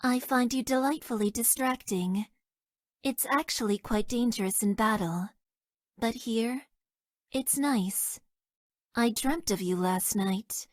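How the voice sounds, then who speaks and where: A woman voicing a detached, self-deprecating young female mecha pilot in a video game, with deadpan, stilted and hesitant delivery. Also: a little high, slow, no echo, studio quality. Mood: shy, tender. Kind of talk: video game character voice lines.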